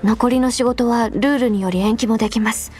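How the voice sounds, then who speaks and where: A high, youthful female voice for an anime game , acted by a woman, playing an earnest adjutant devoted to rules. She speaks with formal, prim politeness and a caring undertone. Music plays behind her lines. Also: steady tone, a little fast, darkish tone, lightly acted. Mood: prim, concerned. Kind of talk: Japanese anime game voice lines, intimate delivery.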